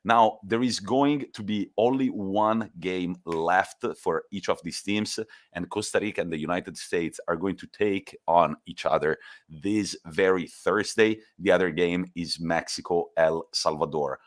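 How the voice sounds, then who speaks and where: A man co-hosting a football podcast, teasing his partner playfully and slowing down to read out match results. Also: sits low. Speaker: podcast co-host. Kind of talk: casual football podcast chat.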